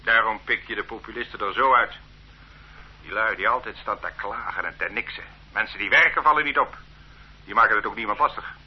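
An actor playing a stern, condescending police officer who lectures a detainee in an authoritarian way in a radio drama. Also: male voice, lowish voice, fairly quick, breathy edge. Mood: self-righteous. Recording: muffled old radio play recording.

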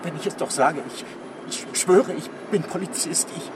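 A man's voice: a little high, close to an everyday voice. Actor as desperate, pleading man, off-mic. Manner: halting, pleading. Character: desperate, pleading man claiming to be undercover policeman. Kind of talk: naturalistic radio crime drama acting. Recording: distant, noisy outdoor scene.

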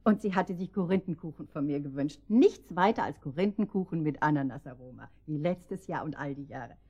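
A low, soft-edged woman's voice as a sweetly nosy neighbour in a radio drama. She is wordy, apologetic and effusive. The recording sounds a little muffled. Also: animated, fairly quick, slightly rough, full character voice. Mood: gushing, apologetic. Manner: effusive, wordy, over-polite. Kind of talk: German radio drama acting.

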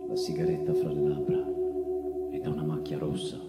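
An actor playing a wistful Italian officer of the First World War, reciting his trench memoir theatrically, with drawn-out words and swelling, fading emphasis. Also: rumbling low, wildly swooping pitch, heavily acted. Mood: grave, mournful. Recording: echoing voice mixed with music. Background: guitar and percussion music.

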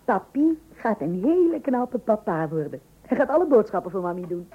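An actress playing an indulgent, gently teasing wife and mother in a comic radio play. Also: mid-range voice, very animated, normal speed, slightly rough. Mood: fondly teasing. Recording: old tinny radio recording.

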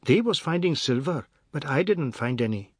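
A man voicing a stubborn, quick-tongued young boy in a story reading. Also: on the high side, expressive, slightly rough, no room sound, studio quality, pitched above the performer's own voice. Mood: excited. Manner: Caribbean creole grammar, cheeky retorts.

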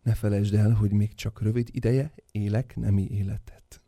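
Husky, creaky suave lover. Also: male voice, on the low side, flat delivery, warm tone, no echo, studio quality. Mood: smug, amorous. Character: suave, smug male lover among jealous women. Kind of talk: theatrical literary radio drama.

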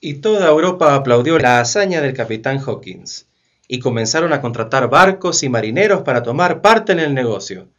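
A man's voice: medium pitch, lively intonation, moderate pace, a bit bright, natural voice. A man playing the solemn narrator of a history radio play, reading scripted lines. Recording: dull lo-fi recording.

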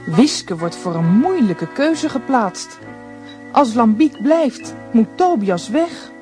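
Calm woman narrator over music. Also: on the high side, takes it slowly. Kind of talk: scripted narration.

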